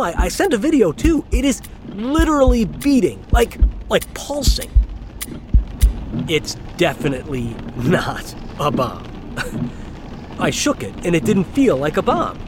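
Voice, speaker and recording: An actor playing a young delivery driver, a nervous, halting, chatty man on a phone call in an audio drama. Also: high voice. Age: young adult. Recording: clear vehicle and outdoor ambience noise.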